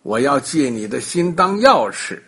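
A man voicing a gullible, foolish king who talks low and drawn out. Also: very animated, a little slow, a bit bright, husky, full character voice. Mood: demanding.

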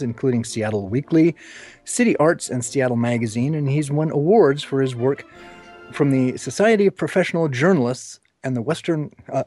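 A man hosting an informal interview show, speaking haltingly and repeating words as he goes. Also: mid-range voice, darkish tone.

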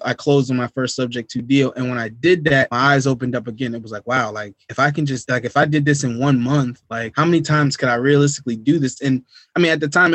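A man's voice: mid-range voice, a bit bright, no echo. An American man from New Jersey telling his story as a podcast guest in a casual, slangy way. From United States, New Jersey. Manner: informal, slangy, repetitive check-in phrases. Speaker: podcast guest, real estate investor.

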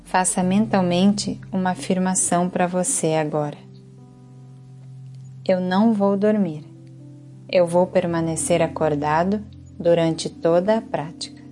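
A woman with a Brazilian Portuguese accent leads a guided yoga nidra relaxation as the instructor, speaking in a calm, soothing, unhurried way. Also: lowish voice, soft background music.

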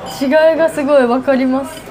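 A woman's voice: high voice, fast. A woman co-hosting a podcast, chatting casually and politely with reactive questions. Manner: polite, reactive interviewing of her co-host. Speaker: podcast co-host. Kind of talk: casual chat. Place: busy oyster eatery. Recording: lively crowd chatter behind. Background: restaurant crowd chatter.

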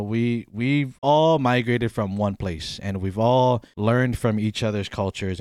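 Relaxed man from Hawaii, dry close sound. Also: mid-range voice, clean tone, dry recording. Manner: relaxed, rambling, often says "you know what I mean". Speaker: podcast host. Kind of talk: casual podcast chat.

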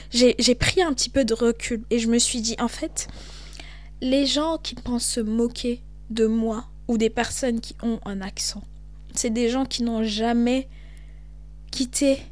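A young woman from Cameroon with a Cameroonian French accent hosts a podcast, talking in an animated, emphatic way. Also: a little high. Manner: animated, emphatic, switches into English. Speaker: podcast host. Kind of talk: casual monologue.

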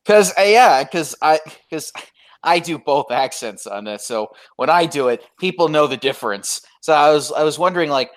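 A young American woman interviewing her guest in a lively, rambling way, full of restarts. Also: low-pitched, normal speed. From United States. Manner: animated, rambling, many restarts. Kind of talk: casual interview chat.

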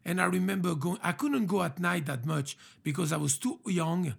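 A man's voice: sits high, normal speed, vocal fry. A man with a French accent, hosting a casual interview and talking with many hesitations and restarts. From France.

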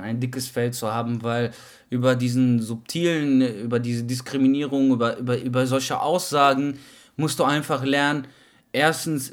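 Young guy on a podcast, street slang, lots of uh and bro, hops back and restarts. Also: mid-range voice. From Germany. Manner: slangy, calls the other man brother, many fillers and restarts. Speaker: podcast co-host. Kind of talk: casual podcast chat.

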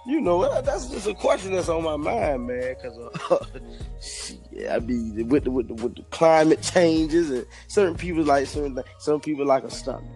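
A man in his fifties co-hosting a podcast, hoarse from a cold, laid-back and quick to laugh. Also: fairly high pitch, big pitch swings, a little mellow, soft background music. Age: 50s. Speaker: podcast co-host. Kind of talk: casual podcast banter.

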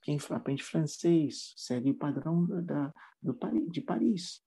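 A man who teaches English, talking casually in an interview and often pausing on fillers and restarts. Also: fairly high pitch, animated, gravelly, warm tone, slightly rough. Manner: hesitant, many fillers and restarts.

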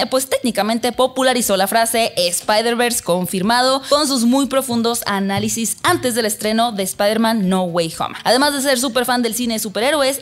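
A woman from Mexico with a Mexican accent, hosting an interview show in a conversational style. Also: on the high side.

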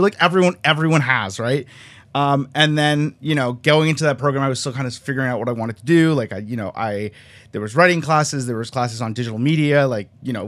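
A man in his twenties from Canada, a guest on a podcast, talking in a quick, chatty way with lots of fillers. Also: mid-range voice. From Canada, raised near Toronto; born in Colombia. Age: 20s. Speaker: podcast guest, sports cinematographer. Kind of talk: casual interview chat.

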